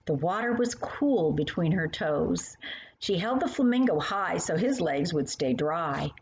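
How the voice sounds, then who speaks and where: A woman's voice: fairly low pitch, animated, a little slow. A woman reading her own storybook aloud for young children, addressing the little listeners directly. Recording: dull lo-fi sound with faint noise.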